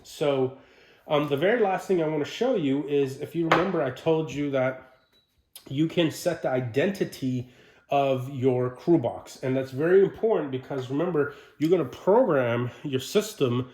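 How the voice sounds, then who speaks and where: A man giving an informal, unscripted equipment demonstration, explaining in a halting way and putting questions to the viewer. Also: fairly high pitch, a little mellow. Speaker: presenter of a military radio equipment walkthrough. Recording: roomy, echoing sound.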